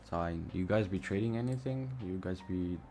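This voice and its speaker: A man's voice: low voice, normal speed, warm tone. A young man with a Romanian accent chatting casually through a live trading stream, thinking aloud and swearing freely. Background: music and mouse clicks.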